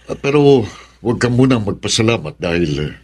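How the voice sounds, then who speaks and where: An actor with a Filipino accent and husky voice playing a sober, resigned man in a radio drama. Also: on the low side, sing-song, brisk, a little mellow. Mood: grave, consoling.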